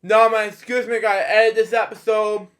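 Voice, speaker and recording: A man hosting a read-aloud of children's stories in a casual, halting, rambling way with off-the-cuff asides. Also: high-pitched, flat delivery, a bit bright. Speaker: host reading bedtime stories.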